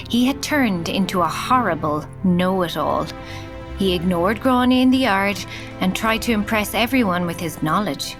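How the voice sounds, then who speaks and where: A woman's voice: mid-range voice, lightly acted. A woman narrating a children's audio drama as a storybook narrator.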